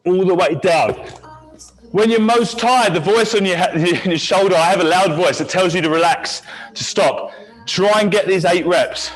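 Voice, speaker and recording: A man working as a fitness instructor, leading a live high-intensity class with encouraging talk, countdowns and short barked cues. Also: low-quality recording. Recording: music playing behind the voice.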